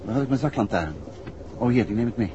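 An actor playing a calm, wry, polite amateur detective out with his wife in a 1960s Dutch radio drama. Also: mid-range voice, quick pace, natural voice. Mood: wry, unhurried. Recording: dull, noisy 1960s radio recording.

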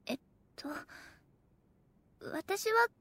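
An actress playing a modest, innocent young wife, polite and hesitant, in an audio drama. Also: female voice, extremely slow, a bit bright. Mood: modest, earnest. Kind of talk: Japanese audio drama acting.

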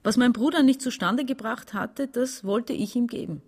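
A woman's voice: fairly high pitch, normal speed, faint music behind. An actress playing an embittered, domineering woman around forty with an Austrian accent, emphatic and reproachful in a radio drama. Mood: wistful, proud. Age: 40s. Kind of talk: German-language radio drama.

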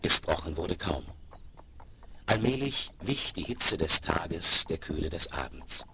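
A man narrating a German radio play in a solemn, dramatic storytelling style with a deep, husky voice. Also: big pitch swings, measured pace, bright, forceful tone, full character voice. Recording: noisy vinyl rip, clicks.

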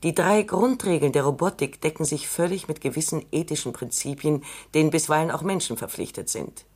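A cool, exacting female scientist in a radio play. Her voice is very low for a woman, measured and precise as she lays out her arguments. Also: a little mellow, slightly rough. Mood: lecturing. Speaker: robot psychologist Dr. Calvin. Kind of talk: radio drama acting.

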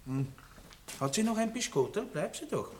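Ein Schauspieler mit österreichischem Akzent spielt in einem Hörspiel einen großspurigen, lüsternen Landbaron mittleren Alters, der schwadroniert und prahlt. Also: male voice, high voice, very animated, moderate pace, a little mellow. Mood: leering. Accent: Austrian. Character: boorish lecherous middle-aged country baron. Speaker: radio play actor. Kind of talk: 1960s radio drama acting.

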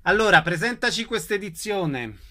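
A man's voice: high-pitched, expressive, moderate pace, pushed and bright. A man hosting a radio interview.

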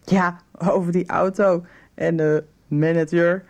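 An actress playing a coy, frank nineteen-year-old in a radio soap, with a hesitant, guarded way of talking. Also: female voice, lowish voice, fairly even intonation, slow, a little mellow. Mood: coy, teasing. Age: young adult. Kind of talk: 1990s Dutch radio soap dialogue.

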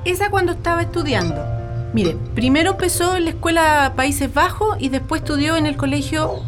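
A homely Chilean mother in a radio drama, welcoming and chatty. Her voice is high and swoops expressively, with music clearly behind it. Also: animated, a little fast. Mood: eager, fond. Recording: music bed under the voice.

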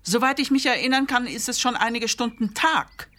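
A woman's voice: on the high side, normal speed, a bit bright, close to an everyday voice. A woman playing a brusque, imperious clinic director in a radio play, curt and dismissive. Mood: suspicious, stern.

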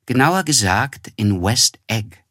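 An actor playing a reflective, polite young man who narrates a literary radio drama in a measured, descriptive way. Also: medium pitch, expressive, fairly bright tone, no room sound, clean studio sound. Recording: music under the voice.

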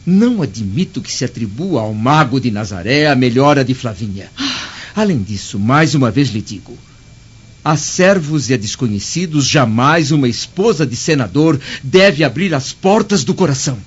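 A man's voice: medium pitch, lively intonation, normal speed, husky. An actor playing a proud, formal Roman senator and husband in a radio drama, pompous in his delivery. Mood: stern, unbending. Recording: muffled lo-fi radio drama, music underneath.

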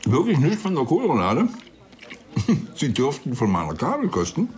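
A man's voice: mid-range voice, wildly swooping pitch, moderate pace, darkish tone, slight background noise. An actor playing a smug, unctuous senior policeman in a radio drama, jovial and condescending. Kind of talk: naturalistic German radio crime drama acting.

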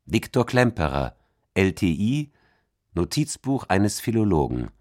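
Slow, expressive low-voiced man reciting. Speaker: stage reader. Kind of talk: measured literary recitation.

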